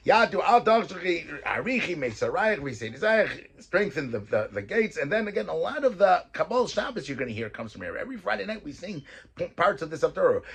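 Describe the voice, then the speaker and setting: Rabbi guy explaining verses, kinda husky, voice bounces up and down a lot. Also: fairly high pitch. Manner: mixes Hebrew verses with English explanation, animated. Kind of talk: weekly Torah talk.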